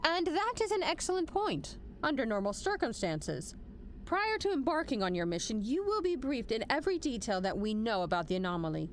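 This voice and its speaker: A woman's voice: fairly high pitch, big pitch swings, a little fast, slight background noise. A woman playing a stern military general in an audio drama, formal and authoritative with a dry, wry edge. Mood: commanding, measured. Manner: formal, authoritative briefing delivery. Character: stern, commanding female military general, measured and dryly wry. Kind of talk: scripted audio drama acting.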